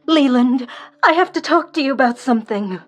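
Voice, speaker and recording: A wealthy wife nearing forty. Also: female voice, high-pitched, animated, moderate pace, soft background music. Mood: anxious. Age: 30s. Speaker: actor in a radio drama. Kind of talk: historical radio drama acting.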